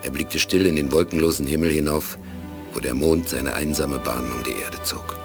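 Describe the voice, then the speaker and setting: Deep male radio-play narrator over music. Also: fairly even intonation, normal speed, vocal fry. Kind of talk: scripted dramatic narration for a 1980s German radio play.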